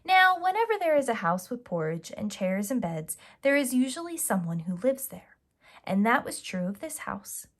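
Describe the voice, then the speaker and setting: Female preschool storyteller, expressive and emphatic, highly melodic delivery on the higher side. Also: moderate pace. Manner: emphatic, draws out size words. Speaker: children's storyteller.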